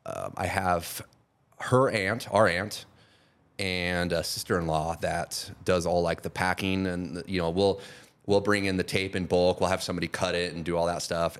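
Guest spot: very low-pitched man in his 40s, loose rambling talk that trails off. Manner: rambling, with many restarts and trailing off quietly. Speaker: guest, custom painter and product seller. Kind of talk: casual podcast conversation.

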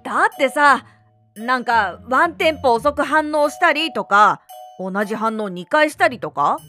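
Woman acting bright, high-pitched worried young mother. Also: unhurried, faint music behind, full character voice. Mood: concerned, puzzled. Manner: expressive, casual. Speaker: mother in a family radio drama. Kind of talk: amateur radio drama acting.

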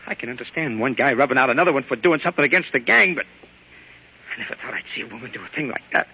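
Jumpy gangster sidekick, slangy, whining, husky creaky voice, old radio crime drama. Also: male voice, medium pitch, expressive, very fast, sharp tone, exaggerated voice. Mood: wretched, drunken. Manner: slangy, nervous, whining tough-guy talk. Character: jittery, hard-drinking, conscience-stricken male gangster henchman. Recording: muffled old radio recording.

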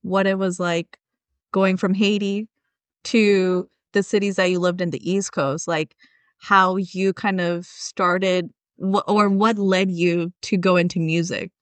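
A man's voice: high voice, flat delivery, slow, fairly bright tone, no room sound. A man hosting a podcast, asking loose, encouraging questions in casual chat. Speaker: podcast host. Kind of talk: casual interview.

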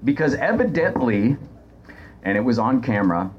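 An animated man tells comic stories in a casual podcast chat. His pitch swings widely, and he chuckles and doubles back on words as he goes. There is some faint noise behind him. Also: moderate pace, highs cut off. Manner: animated, chuckling delivery with stammering repeats. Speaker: podcast guest.

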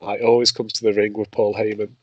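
A man's voice: lowish voice, little pitch movement, a little fast, no room sound, dull audio. Laughing man reading quiz clues. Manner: reads clues in first person, laughs often. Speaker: quiz host. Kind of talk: casual quiz game chat.

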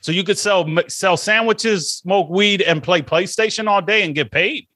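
A young man riffs on a podcast, cursing casually and tossing off silly impressions. His voice sits a little high for a man and rings bright and forceful. The sound is close and dry, with no room around it. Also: normal speed, no echo. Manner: profane, jokey, does silly voice bits. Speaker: podcast co-host. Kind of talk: casual chat.

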